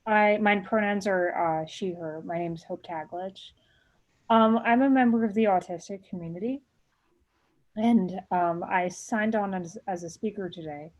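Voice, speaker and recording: A woman giving an earnest personal statement as a speaker at a remote public meeting, with a halting, hesitant delivery. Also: on the low side, darkish tone.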